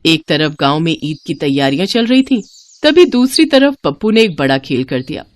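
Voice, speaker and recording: A woman's voice: deep voice, sing-song, moderate pace, no room sound. A woman narrating a scripted moral story as a storyteller.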